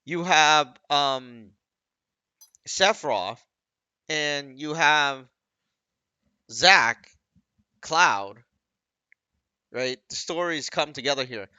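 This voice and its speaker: A man's voice: a little high, drawn-out words, pauses often, pushed and bright, clean tone, dry recording, lo-fi sound. A man giving casual, off-the-cuff game commentary, rambling and often second-guessing his own wording. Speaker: gaming video creator.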